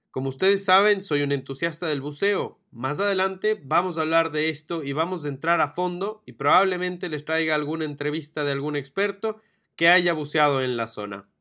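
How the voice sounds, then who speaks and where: A man's voice: on the high side, bright, forceful tone. A man from Ecuador hosting a travel podcast, talking enthusiastically and expansively. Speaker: podcast host. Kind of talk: solo travel podcast narration.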